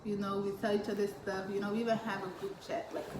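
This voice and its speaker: Teen girl, chatty storyteller, mid pitch, roomy echo, faint hiss. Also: little pitch movement, fast talker. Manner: chatty, laughing storyteller, acts out quotes. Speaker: vlogger. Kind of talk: casual storytime chat.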